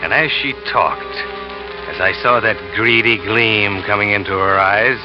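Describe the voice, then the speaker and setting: An actor playing a smooth-talking, scheming salesman who narrates his own story in 1940s radio drama style, boastful and quietly cold. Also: fairly low pitch, measured pace, lightly acted. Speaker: narrator and lead character. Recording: dull old radio recording with music.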